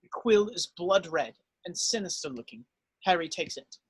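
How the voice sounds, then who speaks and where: A man's voice: sits high, animated, moderate pace, slightly rough, dry recording, low-quality recording. A man narrating, reading screenplay stage directions aloud at a script table read.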